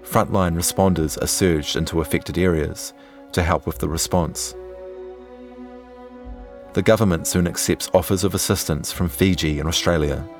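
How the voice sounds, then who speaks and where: A man narrates a scripted documentary. His delivery is measured and serious, like long-form journalism read aloud. Soft music sits faintly behind him. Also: low-pitched, fairly even intonation.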